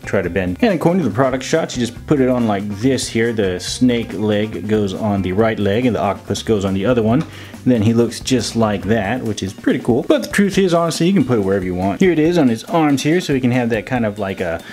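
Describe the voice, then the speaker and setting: A man's voice: fairly low pitch, lively intonation, fairly quick, darkish tone, soft background music. A man giving a casual, jokey action figure review as host, with a playful manner and silly made-up words. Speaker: toy reviewer and video host.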